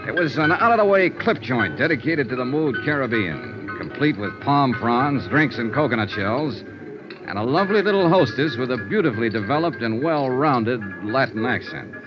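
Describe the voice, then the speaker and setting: An actor playing a wry, hard-boiled American investigator in a 1950s radio noir style, clipped and sardonic. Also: male voice, medium pitch, animated, moderate pace, music in the background, close to an everyday voice. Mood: wry narrating. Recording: dull vintage radio recording.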